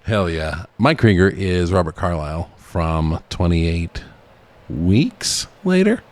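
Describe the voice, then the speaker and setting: A man's voice: sits low, very animated, takes it slowly. A man co-hosting a podcast, chatting casually about movies with frequent chuckles and swearing. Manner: chuckles often, profane. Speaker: podcast host.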